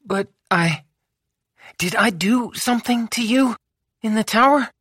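An audiobook reader voicing a bold, fiery young woman fighter who swears freely, with raised pitch and an urgent, pleading delivery. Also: a little slow, fairly bright tone, slightly rough, dry recording, clean studio sound. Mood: earnest. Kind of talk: audiobook character voice.

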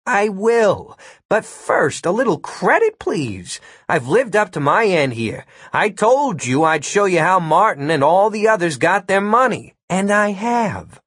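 A man voicing a calm, cheeky male computer hacker in an audiobook, reasoning in a politely smug, persuasive way. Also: high-pitched, a little slow, breathy edge, no room sound, studio quality, voice pushed up, heavily acted. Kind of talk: audiobook character voice.

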